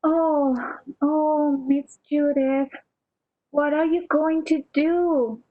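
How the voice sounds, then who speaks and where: Lady acting as loyal concerned maid in a radio play, very high slow voice, kinda respectful. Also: steady tone, narrow, tinny audio. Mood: dismayed. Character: deferential, concerned female maid, kindly and loyal. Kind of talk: amateur radio drama acting.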